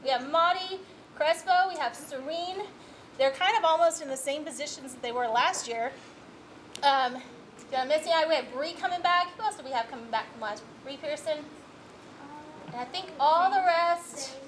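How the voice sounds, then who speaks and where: High-voiced woman chatting to an audience. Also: moderate pace, slight background noise, poor audio. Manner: chatty, explanatory. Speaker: school drama director and teacher introducing a student play. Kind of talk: informal unscripted speech to an audience.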